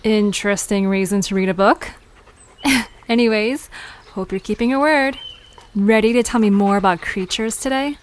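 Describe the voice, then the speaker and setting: A woman's voice: medium pitch, moderate pace, natural voice. A woman playing a curious, teasing young park visitor in an audio drama, chatting in a casual, playful way. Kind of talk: conversational audio drama acting.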